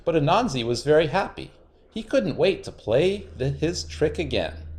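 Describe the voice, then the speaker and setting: A man's voice: a little high, very animated, normal speed, darkish tone. A man narrating a children's storybook aloud, expressively and with dramatic emphasis.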